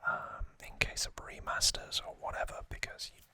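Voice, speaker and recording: A man hosting an ASMR video, whispering throughout as he explains things off the cuff, with thoughtful, hesitant pauses. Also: sharp tone, no room sound. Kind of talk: whispered ASMR, unscripted explanatory unboxing talk.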